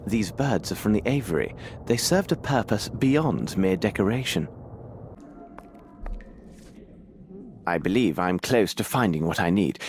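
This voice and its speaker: An actor playing a cerebral Victorian detective in a video game, with a formal, articulate way of musing aloud. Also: male voice, lowish voice, lively intonation, moderate pace, slight background noise, lightly acted. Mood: inquisitive. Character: Victorian male detective, cerebral, self-assured, inquisitive. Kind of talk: video game dramatic narration to himself.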